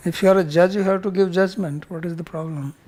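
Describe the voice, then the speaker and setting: A man's voice: sits high, fairly even intonation, fast talker, a little mellow, rough recording. A man speaking as a spiritual teacher, explaining scripture verse by verse in a plain-spoken way.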